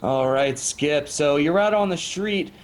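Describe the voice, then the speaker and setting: A man's voice: fairly high pitch, unhurried, lightly acted. A man playing an easygoing panel show anchor in an improvised comedy sketch, moderating casually.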